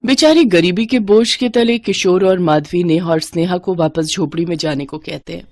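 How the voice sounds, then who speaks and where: A woman's voice: on the low side, normal speed, darkish tone, no echo. A woman narrator reading a scripted story in a storytelling style.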